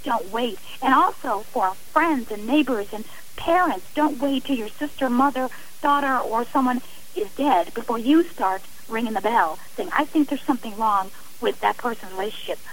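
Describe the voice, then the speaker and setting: A woman's voice: fairly high pitch, normal speed, a bit bright, low-quality recording. A woman speaking earnestly and emphatically as a guest on a radio interview.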